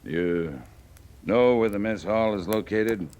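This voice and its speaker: Stern, low-voiced cavalry captain. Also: male voice, slow, a little mellow. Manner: curt, authoritative. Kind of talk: old-time radio western acting. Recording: noisy vintage radio recording.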